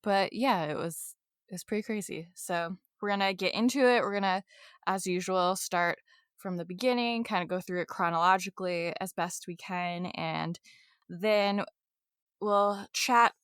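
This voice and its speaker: A woman's voice: lowish voice, normal speed, fairly bright tone, smooth, no echo. Chatty woman podcast host, dry sound. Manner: chatty, laughing, filler-heavy. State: congested from allergies. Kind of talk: casual true crime podcast chat. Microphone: podcast microphone.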